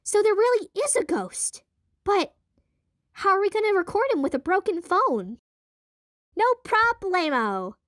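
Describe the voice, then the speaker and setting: A woman voicing excitable, nerdy young twin girls in an audio drama. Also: extremely high pitch, measured pace, long pauses, no echo, clean studio sound, voice pushed up, heavily acted. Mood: excited.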